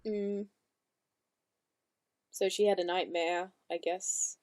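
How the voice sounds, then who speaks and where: A woman's voice: lowish voice, steady tone, slow pace, a little mellow, dry recording. A woman giving casual, off-the-cuff commentary as the host of an online reaction.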